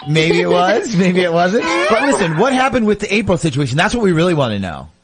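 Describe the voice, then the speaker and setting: A man hosting a morning radio show, chatting and asking informal questions in lively phone-in banter. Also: high-pitched, fairly quick, music in the background. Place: radio studio.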